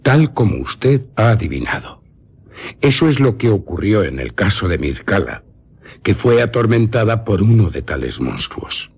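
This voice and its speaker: An old nobleman with a badly rasping, deep voice. His Castilian Spanish rises and falls dramatically as he unfolds vampire lore like a learned, eccentric scholar. It is mysterious radio drama, deliberate and full of pauses. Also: big pitch swings, darkish tone, exaggerated voice. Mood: grave, instructive. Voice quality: very husky, raspy.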